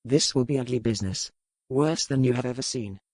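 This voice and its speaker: A man's voice: mid-range voice, a little mellow, dry recording, clean studio sound, lightly acted. Proud, wry pirate lord played by a man. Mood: proud, sardonic. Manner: formal, aristocratic, measured phrasing. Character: titled English lord and pirate, proud, wry, battle-hardened. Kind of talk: historical romance audiobook acting.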